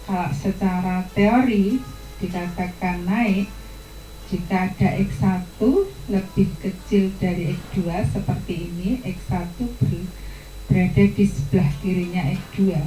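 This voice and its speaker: A woman teaching a math lesson, walking students through each idea step by step. Her voice is mellow and relaxed, recorded in a roomy, echoey space with soft guitar music playing faintly behind her. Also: fairly low pitch, unhurried. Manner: patient, step-by-step explanation to students.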